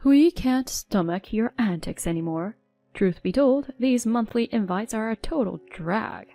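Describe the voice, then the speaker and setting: A woman's voice: a little high, lively intonation, measured pace, warm tone, soft background music. Brief: outspoken relative with a scolding tone, storybook style, read by a woman. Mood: fed up. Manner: blunt, scolding. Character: blunt fed-up relative at a family gathering.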